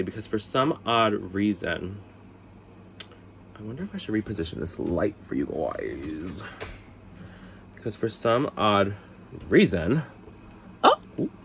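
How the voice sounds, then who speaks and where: A man's voice: fairly low pitch, a little hiss or hum. A man with a cold, congested and sniffly, chatting casually and rambling through a storytime vlog with self-mocking asides. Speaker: vlog host.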